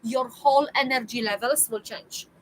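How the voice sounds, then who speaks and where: A woman's voice: a little high, normal speed, a little hiss or hum, rough recording. A woman, giving a motivational talk to her viewers and repeating phrases for emphasis. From Poland. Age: 40s. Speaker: spiritual coach.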